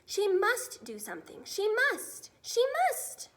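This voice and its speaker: Female storybook reader: high voice, expressive, dramatic emphasis, wide swings of intonation. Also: slow pace. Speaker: teacher reading a children's book aloud.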